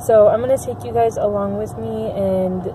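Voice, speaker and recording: A young woman chats to her followers in a casual vlog, filling her sentences with ums and quick asides. Her voice sits at an ordinary middle pitch. A faint hiss runs under the phone recording. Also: steady tone. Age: young adult. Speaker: vlogger. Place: car and bookstore, later a home room at a webcam. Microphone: phone camera at arm's length, later a webcam.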